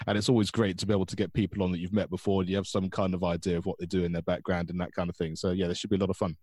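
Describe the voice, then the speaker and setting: A man hosting a podcast, asking relaxed, chatty questions. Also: low voice, husky, no room sound, clean studio sound. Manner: slow dramatic intro reading, then relaxed chatty questions. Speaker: podcast host. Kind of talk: scripted intro then casual interview.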